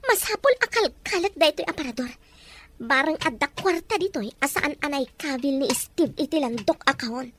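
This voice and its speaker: Woman as scheming wife, very high voice, whiny, demanding, audio drama, noisy recording. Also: lively intonation, normal speed, a bit bright. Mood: greedy, scheming. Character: scheming, grasping wife, besotted with her lover. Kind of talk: Ilocano audio drama acting.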